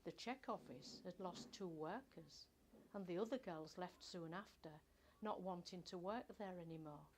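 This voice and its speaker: An older woman reads a first-person story from a script in a measured, expressive storytelling manner. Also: on the low side, noisy background. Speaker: author reading own short story.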